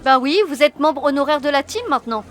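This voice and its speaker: A no-nonsense military leader, acted by a woman, speaks in a high voice. She is commanding, brisk and deadpan, as in a comic sci-fi radio play. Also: slight background noise. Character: bossy, no-nonsense woman lieutenant leading a squad. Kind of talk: comic sci-fi audio drama.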